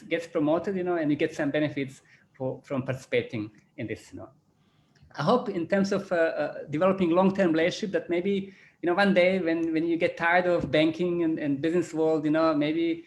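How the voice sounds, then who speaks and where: Croatian-accented man, halting and repetitive. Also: fairly high pitch, slight background noise. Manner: halting, repeats words, many "you know" fillers. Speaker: business school chairman. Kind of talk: closing remarks at an online panel.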